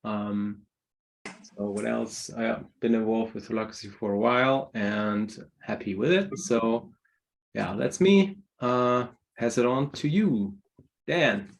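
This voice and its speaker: A man from Germany facilitating a video-call meeting, relaxed and hesitant with frequent ums. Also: fairly low pitch, slow, gravelly. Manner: hesitant, many ums, light laughs. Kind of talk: casual online meeting talk.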